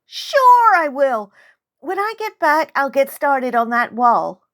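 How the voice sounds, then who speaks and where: A woman's voice: sits high, very animated, takes it slowly, a bit bright, voice pushed up, full character voice. A woman voicing a contrite young American boy in an audiobook, speaking with hesitant, stammering apologies. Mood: eager. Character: contrite young American boy, recently bereaved, eager to make amends. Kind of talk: first-person audiobook character dialogue. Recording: music under the voice.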